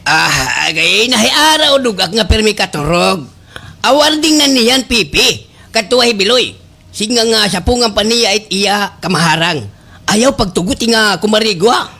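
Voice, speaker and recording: An actor with a Filipino Waray accent playing a fawning, excitable village captain in a slapstick radio comedy, stammering and exaggerated. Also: male voice, high-pitched, moderate pace, gravelly, breathy edge, full character voice. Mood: bossy, excited.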